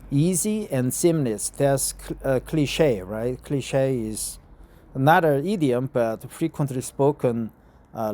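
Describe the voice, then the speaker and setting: A Korean-accented man speaks English slowly and deliberately, reading a travel article aloud and often pausing on "uh". His voice is dark and mellow, and he sits outdoors on a bench in a courtyard. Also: mid-range voice. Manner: hesitant, frequent uh fillers, careful deliberate reading. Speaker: vlogger. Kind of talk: casual talk and reading aloud.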